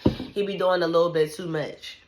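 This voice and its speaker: A woman's voice: on the low side, steady tone, moderate pace. A woman in her thirties venting casually to the camera, blunt and profane, with lots of "like" and "you know what I'm saying". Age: 30s. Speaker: vlogger.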